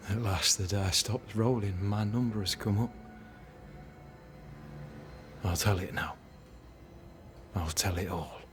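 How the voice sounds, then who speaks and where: Man acting a low-voiced, proud, bitter captain. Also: normal speed, faint music behind, lightly acted. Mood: resigned. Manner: solemn, theatrical. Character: proud, bitter military captain, self-righteous and fatalistic. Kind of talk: dramatic game cutscene acting.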